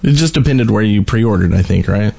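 Male co-host, slightly deep voice, deadpan, conversational delivery. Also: brisk, vocal fry, slightly rough, dry recording. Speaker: podcast co-host. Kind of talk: casual chat.

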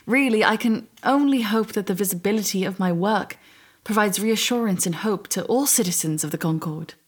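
A woman's voice: mid-range voice, normal speed, natural voice. A woman playing a guarded, self-assured scientist who speaks formally in a sci-fi audio drama interview. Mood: composed, formal.

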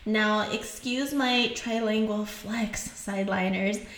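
A woman's voice: medium pitch, steady tone, slow, a bit bright, reverberant, poor audio. A young woman from Haiti whose first languages are French and Creole, chatting casually as a podcast host and laughing often.

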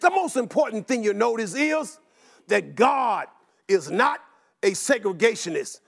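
A man preaching a sermon in a rhythmic chant, running through long repeated lists and rising into shouts. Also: high-pitched, big pitch swings, measured pace, vocal fry.

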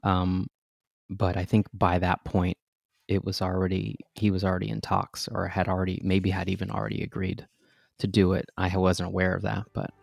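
A man with a low, gravelly voice tells a backstage story in a loose, conversational way. He often breaks into laughter at his own expense. The sound is close and dry, with no room around it. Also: flat delivery, normal speed, dry recording. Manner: chatty, self-deprecating, laughs often. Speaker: guest, stage performer. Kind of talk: casual interview storytelling.

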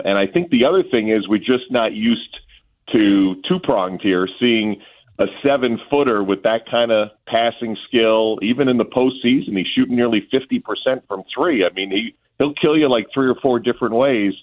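A man guesting as a play-by-play announcer, giving casual sports analysis over a narrow, phone-like connection. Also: fairly low pitch. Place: remote link from an arena. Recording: narrow tinny phone-like line.

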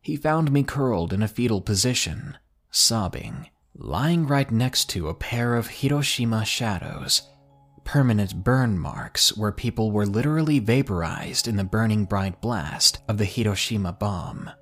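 A man narrating true ghost stories as a podcast host, in a measured, suspenseful storytelling manner. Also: fairly low pitch, expressive. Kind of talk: scripted horror story narration.

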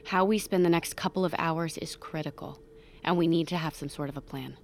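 An actress playing a grave, caring young neurology student, speaking in a measured, explanatory way in an audio drama. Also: female voice, sits low, background noise. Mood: concerned, careful. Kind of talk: naturalistic audio drama acting. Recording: loud music under the dialogue.

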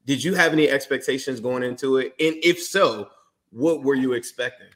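A man's voice: mid-range voice, moderate pace, a bit bright. A man hosting a casual sports podcast, asking enthusiastic, rambling questions full of fillers. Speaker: podcast host.

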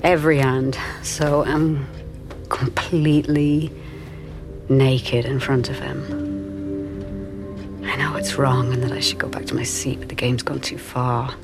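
A woman's voice: a bass voice, level tone, a little slow, over music. An actress playing a wistful married woman in her forties in an audio drama, speaking in a hesitant, confiding, intimate way. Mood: intimate, aroused. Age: 40s. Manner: hesitant, confiding, self-conscious.

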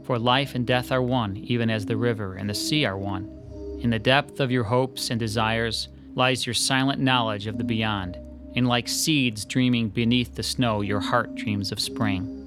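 A man's voice: mid-range voice, steady tone, normal speed, over music, close to an everyday voice. An actor playing a polite, slightly hesitant male sketch artist in a radio drama. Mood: reading aloud.

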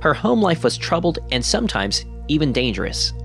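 A man hosting the show, reading an ad and narration in a smooth, even announcer delivery. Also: a little high, a little fast, soft background music. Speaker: host. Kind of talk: scripted narration and ad read.

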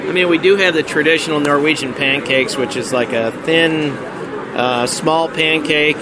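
Relaxed American man, street noise behind. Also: on the high side, moderate pace. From United States. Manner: relaxed, lots of ums and uhs, dry humor. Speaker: lodge marketing representative. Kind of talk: casual interview at an outdoor event. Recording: noisy outdoor street recording.

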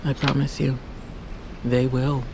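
Low-voiced, solemn old grandmother. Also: level tone, background noise, heavily acted. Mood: stern, urgent. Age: older. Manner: solemn, deliberate, commanding. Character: fierce, proud old grandmother, poison master of a fantasy tribe. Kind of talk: audio fiction drama acting. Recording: loud music behind the voice.

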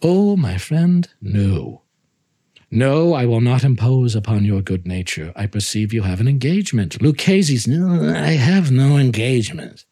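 Man acting a devious nobleman, effusive false concern, coaxing, husky, swooping pitch, gothic tale. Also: animated, unhurried, mellow. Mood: mock concern. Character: sly, falsely solicitous vengeful nobleman, flattering and smiling.

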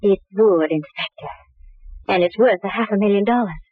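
A woman's voice: mid-range voice, normal speed, dry recording, lightly acted. Lady acting rich guarded wife in old radio whodunit, poised, tinny dry sound. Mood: composed, explaining. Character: restless, guarded wealthy wife of murdered millionaire. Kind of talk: old-time radio crime drama acting. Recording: narrow, lo-fi vintage radio recording.

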